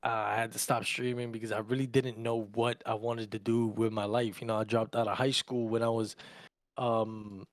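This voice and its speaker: A man's voice: lowish voice, level tone, moderate pace, no echo, studio quality. A man in his early twenties, from Argentina and raised in Louisiana, chats casually as a podcast co-host, telling personal stories in slangy, sweary language. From Argentina, raised in Louisiana, USA. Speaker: podcast co-host and content creator.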